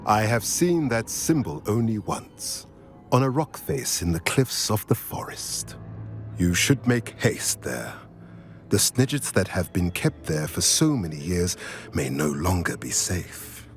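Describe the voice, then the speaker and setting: A cryptic old centaur seer speaks slowly and solemnly in a video game. His low voice rises and falls widely as he unfolds prophecy. Soft game music plays underneath. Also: sing-song. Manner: slow, measured, solemn and riddling. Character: wise old male centaur stargazer, calm and cryptic.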